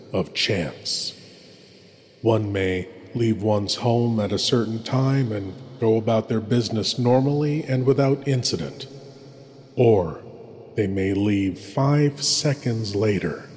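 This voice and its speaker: A man's voice: on the low side, darkish tone. A man narrating horror in a theatrical, grandiose, ominous style as a sinister show host. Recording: long hall reverb.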